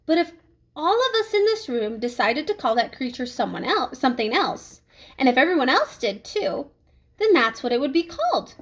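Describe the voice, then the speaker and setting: A woman reading a children's storybook as a strict, controlled female schoolteacher, speaking in a measured, polite, authoritative way. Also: sits high, sing-song. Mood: patient explaining. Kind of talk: children's storybook read-aloud.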